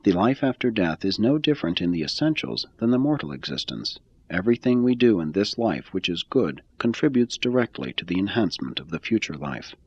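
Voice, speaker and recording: A man with a very low, husky voice reads a religious text aloud, his pitch rising and falling in lively arcs. The sound is dry and a little muffled. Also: fairly quick, no room sound. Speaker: reader. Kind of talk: scripted reading of a religious text.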